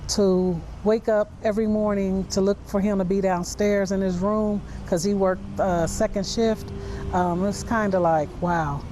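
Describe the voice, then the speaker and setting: A woman's voice: mid-range voice, fairly even intonation, measured pace, warm tone, a little hiss or hum, poor audio. A woman with an American accent giving informal, conversational interview answers.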